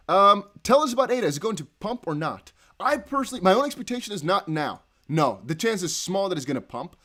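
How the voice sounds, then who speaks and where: Man, young adult, Dutch accent, livestream host, excitable, fairly high pitch. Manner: excitable, reads chat aloud, bursts into whoops. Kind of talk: casual livestream chat.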